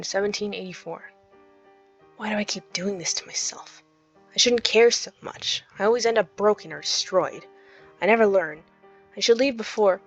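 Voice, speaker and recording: A woman voicing a weary, centuries-old demon slowly reading out his despairing journal in a visual novel. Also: medium pitch, creaky, dull audio. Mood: bitter regret. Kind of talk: dramatic reading of journal entries.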